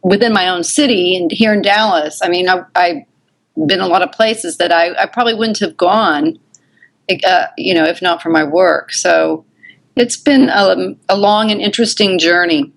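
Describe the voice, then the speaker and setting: Woman from Texas, podcast guest, relaxed chatty delivery, slightly low pitch. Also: moderate pace, creaky, poor audio. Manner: chatty and relaxed, with many ums and you-knows. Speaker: podcast guest, makeup artist and travel blogger. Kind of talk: casual interview chat.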